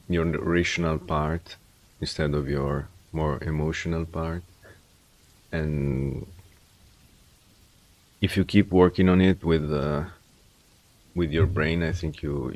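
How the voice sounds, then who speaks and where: A man's voice: a bass voice, a little mellow. A man streamer chatting casually and giving reflective, rambling advice on learning languages, often restarting his sentences. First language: English. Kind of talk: casual livestream chat.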